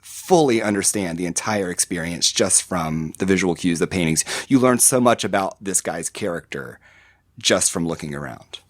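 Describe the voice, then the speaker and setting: A man with a lowish voice talks casually on a podcast. He rambles, restarting his sentences and filling gaps with "uh". The recording is close and dry, with no room sound. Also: moderate pace, no room sound. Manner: rambling, many fillers and restarts. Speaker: podcast guest. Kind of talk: casual conversational description.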